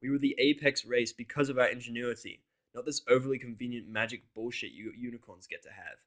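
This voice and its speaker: A man's voice: mid-range voice, fast, lightly acted. A man voicing a surly, cynical human character with a blunt, sarcastic, grumbling manner in a story. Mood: irritated, defiant. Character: surly, foul-mouthed, cynical human in pony world.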